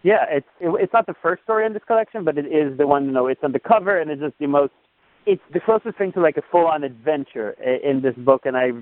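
High-voiced male host on a phone line. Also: animated, fast talker, no room sound. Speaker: podcast host. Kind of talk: casual book discussion. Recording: dry tinny phone line.

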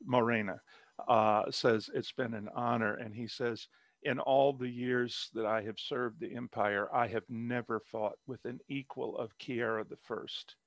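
A man's voice: mid-range voice, fairly even intonation, measured pace, no room sound. A man, an author, chatting casually in an interview over a video call, rambling with many fillers and restarts.

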